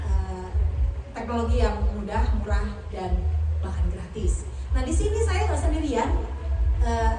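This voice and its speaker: A woman's voice: a little high, background noise. A middle-aged woman hosting a casual community gathering, introducing the group in a chatty, self-joking way. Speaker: community host. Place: outdoor village gathering. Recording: echoey, from far off.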